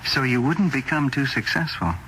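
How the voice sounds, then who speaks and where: A man acting in a radio suspense drama as a devoted friend and literary agent, speaking with earnest, deliberate emphasis. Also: medium pitch, fairly bright tone, lightly acted. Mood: fervent. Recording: noisy old radio drama recording.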